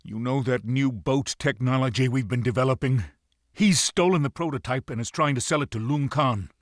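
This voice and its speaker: An actor playing an earnest, concerned military comrade in a video game, serious in manner. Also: male voice, mid-range voice, normal speed, no echo, clean studio sound, lightly acted. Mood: grave. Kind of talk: video game cutscene acting.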